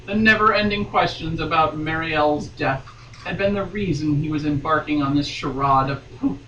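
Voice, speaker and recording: A woman's voice: sits low, fairly bright tone. A woman reading a novel aloud dramatically, breaking off for playful, mock-dramatic asides. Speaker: podcast guest reading a romance novel aloud. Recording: dull, roomy, distant mic, faint noise.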